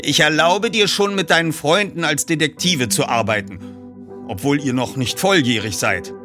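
A man voicing a stern, gruff older relative, an uncle, in audiobook dialogue with a curt, insistent manner. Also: fairly high pitch, moderate pace, over music, voice pushed up, close to an everyday voice. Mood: stern, indignant. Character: stern, gruff, bearded uncle refusing firmly.

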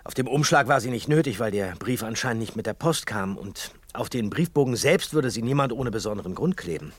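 A man's voice: medium pitch, animated, brisk, slightly rough, dry recording. An actor playing an observant, eager young male detective in a German audio drama, thinking aloud with hesitant starts. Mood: thoughtful.

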